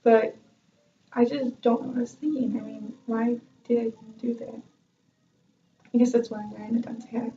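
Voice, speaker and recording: A woman's voice: fairly high pitch, little pitch movement, normal speed, long pauses, distant mic, a little hiss or hum. A young woman telling a self-mocking story from her music class in a chatty vlog, laughing often. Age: young adult. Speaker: vlogger and music student. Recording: dull lo-fi sound from off-mic.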